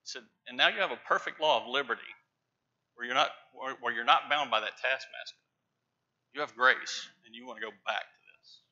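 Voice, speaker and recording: A middle-aged man preaching from a church pulpit, stressing key words with emphatic, rising loudness. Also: mid-range voice, a little fast. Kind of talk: sermon. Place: large church sanctuary with pews. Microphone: lapel mic, far camera. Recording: dull church livestream audio.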